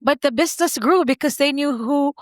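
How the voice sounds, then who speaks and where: A woman's voice: sits high, brisk, no room sound, clean studio sound. A woman with a non-native English accent hosting a podcast interview, who talks in long run-on sentences and keeps checking for agreement. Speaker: podcast host. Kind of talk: conversational interview.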